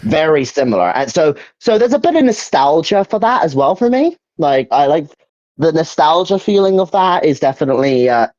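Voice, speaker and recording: A man's voice: fairly high pitch, sing-song, normal speed, a little mellow. A young man chatting casually as he hosts a video game ranking, hesitant and repeating himself as he searches for words. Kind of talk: casual opinion chat about video games. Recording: dull, lo-fi mic.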